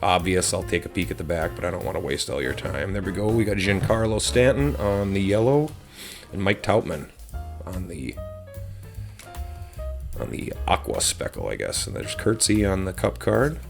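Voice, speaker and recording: A man with a slightly hoarse voice casually chatting as he opens card packs on his channel, relaxed and rambling with frequent ums. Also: low voice, normal speed, faint music behind. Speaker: host of a card-opening channel. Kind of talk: casual chat while opening card packs.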